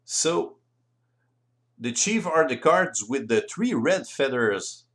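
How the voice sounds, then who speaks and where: A man with a French accent, a native French speaker, explaining board game rules in careful, deliberate non-native English as an instructional voice-over. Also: medium pitch, very animated, a bit bright.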